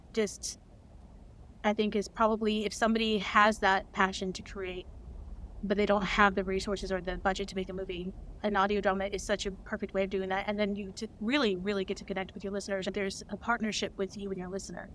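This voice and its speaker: A woman's voice: mid-range voice, level tone, brisk, fairly bright tone, a little hiss or hum. A woman guest on an interview, an audio drama creator, speaking enthusiastically with frequent ums. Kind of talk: casual interview answer.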